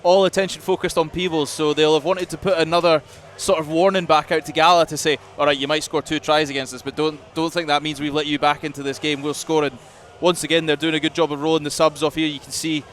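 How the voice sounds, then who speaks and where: A man's voice: on the high side, little pitch movement, quick pace, hardly any pauses, a bit bright. A man giving chatty, conversational analysis as a rugby co-commentator. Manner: chatty, running explanations peppered with "you know". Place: commentary box at a rugby ground. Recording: faint outdoor ground noise.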